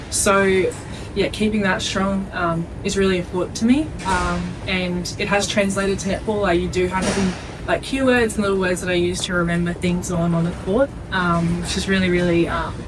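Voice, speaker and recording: A woman's voice: lowish voice, background noise. A young adult woman with an Australian accent, originally from Iceland, chatting casually and informally as an athlete being interviewed. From Iceland, raised in Australia from age three. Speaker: netball player being interviewed.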